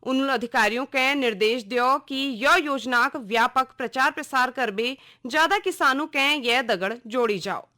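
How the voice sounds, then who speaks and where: A woman with a Kumaoni accent reading a scripted regional radio news bulletin in a formal, measured announcer's delivery. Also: high-pitched, sharp tone.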